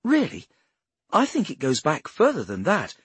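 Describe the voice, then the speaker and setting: A man's voice: on the high side, huge pitch swings, moderate pace, fairly bright tone, breathy edge, no echo, pitched above the performer's own voice, full character voice. A man voicing an earnest, brainy young woman analyst who explains things precisely and insistently in an audiobook.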